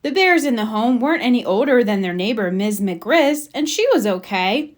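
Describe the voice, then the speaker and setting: A woman reading a children's storybook aloud, with expressive, emphatic narration for young listeners. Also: on the high side, moderate pace. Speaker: storybook reader.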